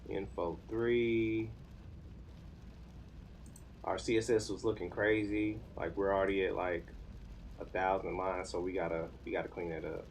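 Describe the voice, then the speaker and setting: A man in his thirties with an American accent talks in a very deep, creaky voice. He thinks aloud informally, as if chatting to a live stream while busy with a task. Noticeable background noise and room ambience sit behind him. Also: flat delivery, a little slow, pauses often. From United States. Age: 30s. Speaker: live streamer learning web development. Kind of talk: casual live coding stream chat.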